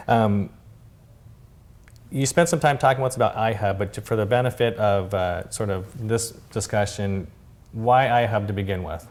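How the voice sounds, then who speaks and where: A man hosting a casual interview, friendly and a bit halting, often repeating words. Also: lowish voice.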